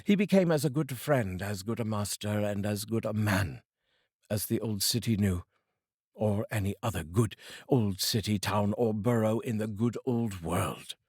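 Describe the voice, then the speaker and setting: A man from the United Kingdom giving a theatrical, expressive reading of a classic story as narrator. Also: on the low side, moderate pace, slightly rough, dry recording, studio quality. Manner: theatrical and expressive, savouring phrases. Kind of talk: dramatic audiobook reading.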